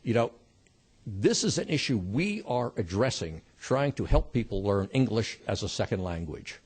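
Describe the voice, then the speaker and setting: A man testifying as a witness before a committee, measured and polite. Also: lowish voice, very animated, a little mellow, husky.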